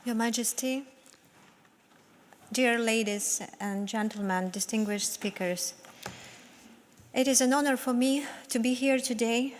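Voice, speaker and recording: A woman from Ukraine, speaking English with a Ukrainian accent, giving an earnest, measured speech as a member of parliament. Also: fairly high pitch, a little hiss or hum. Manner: earnest, measured, with some restarts. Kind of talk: prepared speech at a conference. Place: large parliament meeting hall. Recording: long reverb of a large hall.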